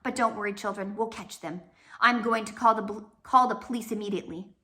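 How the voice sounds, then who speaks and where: A woman's voice: mid-range voice, fairly even intonation, a little fast, pushed and bright, natural voice. A woman voicing a flustered, officious man, a blustering guardian, in a storybook reading. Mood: reassuring.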